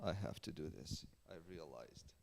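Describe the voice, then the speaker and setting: A man hosting a live workshop interview at a festival, speaking in a hesitant, halting way. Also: extremely low pitch, expressive, vocal fry, a little mellow. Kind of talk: live event introduction and interview. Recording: echoey hall with long reverb.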